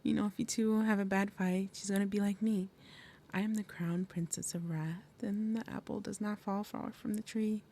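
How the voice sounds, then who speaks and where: A woman playing a fierce, protective demon mother and princess of wrath in an ASMR roleplay, with dramatic, breathy, menacing delivery. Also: mid-range voice, mellow, close to an everyday voice.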